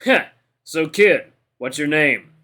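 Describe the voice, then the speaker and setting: Casting: male actor for a massive battle-scarred dinosaur prizefighter, booming, condescending, slangy, bright forceful delivery in a game. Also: animated, slow, full character voice. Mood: amused, condescending. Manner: mocking, booming, casual slangy talk. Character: huge scarred dinosaur veteran fighter, amused and gruff.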